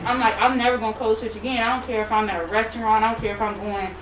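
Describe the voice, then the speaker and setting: Young woman, emphatic and brash, mid-pitch, mic distant in a reverberant room with noise. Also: steady tone. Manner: emphatic, loud and unapologetic, drawn-out phrasing. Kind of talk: casual group discussion.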